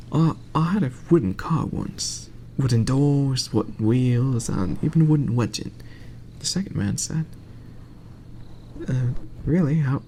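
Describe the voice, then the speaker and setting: Soft slow guy doing asmr bedtime reading, hushed, kinda whispery, mellow tone, bit of noise behind. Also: medium pitch. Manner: hushed, often dropping into whisper. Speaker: ASMR performer reading aloud.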